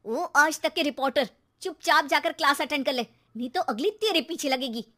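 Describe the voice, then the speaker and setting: A woman voicing an exasperated, sharp-tongued mother and housewife who nags with sarcasm in an animated story. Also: high-pitched, moderate pace. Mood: sarcastic anger. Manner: sarcastic, nagging, exclamatory.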